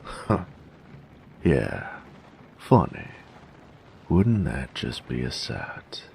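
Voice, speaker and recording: A man acts out a weary fantasy knight with an extremely low, gravelly voice. He grumbles and teases sarcastically in a folksy, swinging drawl, as in an audio drama, over faint background ambience. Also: wildly swooping pitch, drawn-out words, a little mellow, slight background noise, exaggerated voice. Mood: weary, grumbling. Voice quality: gravelly, very deep.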